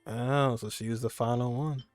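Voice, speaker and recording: A man's voice: mid-range voice, fairly even intonation, moderate pace, soft background music. A young man giving casual, rambling reaction commentary as a gaming streamer, repeating phrases for emphasis.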